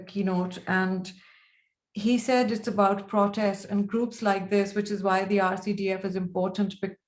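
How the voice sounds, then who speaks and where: Halting woman, even pitch, echoey room. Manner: halting, repeats and rephrases. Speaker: panel speaker. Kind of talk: informal remarks at an online roundtable. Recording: echoey room over video call.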